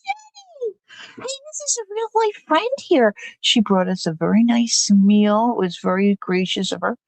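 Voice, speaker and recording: A woman's voice: medium pitch, big pitch swings, measured pace, no room sound, low-quality recording. An older woman hosting a livestream, chatting casually and rambling through a story. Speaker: livestream host. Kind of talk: casual chat.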